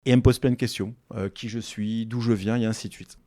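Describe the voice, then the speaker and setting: A man's voice: on the low side, steady tone, quick pace, mellow, no room sound, clean studio sound. A man in his forties, a real estate agency founder, chatting in an interview and telling stories with lots of repetition and acted-out dialogue. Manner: chatty, repeats his own phrases, acts out quoted dialogue. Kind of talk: casual interview storytelling.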